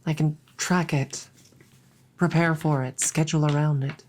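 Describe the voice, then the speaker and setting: A woman voicing an apologetic, self-blaming dragon girlfriend in a roleplay audio drama, speaking softly, tenderly and haltingly. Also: low voice, steady tone, slight background noise. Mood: guilty, apologetic.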